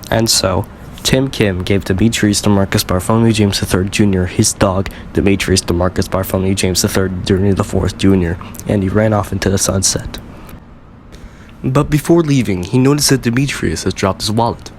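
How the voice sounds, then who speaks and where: Low-voiced man narrating a comic story. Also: fairly even intonation, moderate pace, a little hiss or hum.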